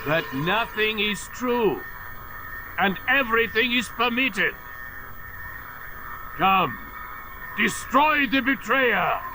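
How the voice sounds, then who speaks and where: A man playing a menacing, manipulative old master in a video game, speaking deliberately with theatrical condescension. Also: high-pitched, animated. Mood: cold, imperious. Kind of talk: video game cutscene acting. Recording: clearly noisy game audio.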